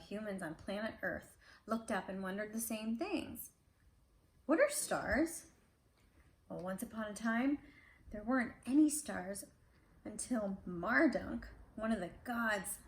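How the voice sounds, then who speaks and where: A woman reading a storybook aloud to kids at home, breaking off for casual, chatty asides to a child. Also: a little high. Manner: chatty asides to child between read passages. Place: indoors at home. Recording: roomy echo, clear background noise.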